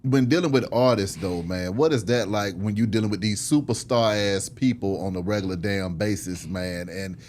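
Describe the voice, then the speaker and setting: A man's voice: fairly low pitch, moderate pace, hardly any pauses, a little mellow, a little hiss or hum. A man hosting a casual interview, blunt and slangy, swearing lightly as he puts his questions. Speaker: interviewer, host.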